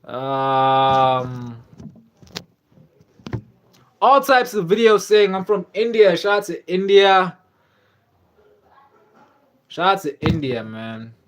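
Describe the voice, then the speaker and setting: A young man with a slightly high voice, rambling casually through a live stream. He loops back on his words, leans on fillers, and reads viewer comments aloud as he goes. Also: big pitch swings, extremely slow, long pauses, a little hiss or hum. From South Africa. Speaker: livestream host.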